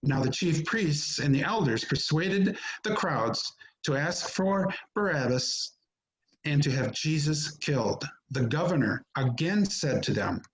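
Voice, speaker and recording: A man narrating a scripture drama as an amateur reader, solemn and measured, in short phrases, recorded over a video call. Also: fairly high pitch, animated, low-quality recording.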